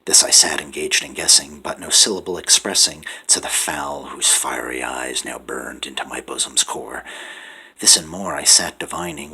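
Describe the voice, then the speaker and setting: A man giving a dramatic poetry recitation, often dropping to a whisper and rising to loud pleading passages. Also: rumbling low, slightly rough, poor audio. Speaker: poetry reader.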